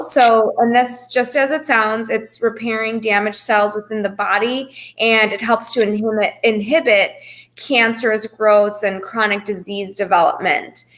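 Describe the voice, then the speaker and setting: A woman's voice: fairly high pitch, a bit bright. A woman speaking as a fitness coach, explaining things informally to a group in a reassuring, careful way. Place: phone call presentation.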